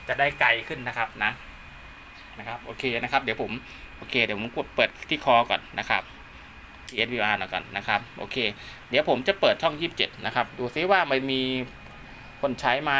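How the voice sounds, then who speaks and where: A man's voice: mid-range voice, slight background noise. A man narrating a casual hands-on demo of radio equipment at home, talking steadily and politely. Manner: steady, polite, ends nearly every phrase with a polite particle. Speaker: amateur radio hobbyist vlogger. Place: room at home by a window.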